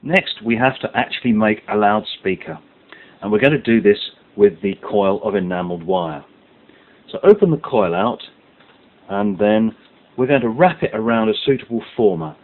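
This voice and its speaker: Man giving lively, swooping step-by-step instructions. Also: lowish voice, moderate pace. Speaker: instructor presenting a science kit tutorial. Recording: muffled lo-fi audio with background hiss.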